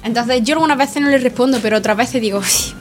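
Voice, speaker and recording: A woman guest on a radio show, chatting briskly and agreeing with the others. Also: on the high side, faint music behind. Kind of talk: casual radio interview chat.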